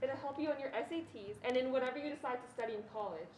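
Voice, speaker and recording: A woman's voice: sits high, racing, darkish tone, close to an everyday voice. A young woman playing a firm, practical mother in a scripted stage reading. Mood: insistent. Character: firm, practical mother with plans for her children. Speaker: student reader in a reader's theatre performance. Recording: echoey room, distant mic, faint noise.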